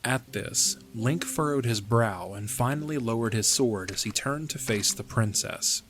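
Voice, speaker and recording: A man's voice: mid-range voice, a little slow, a bit bright. A man reading scripted third-person narration for a fantasy audio drama.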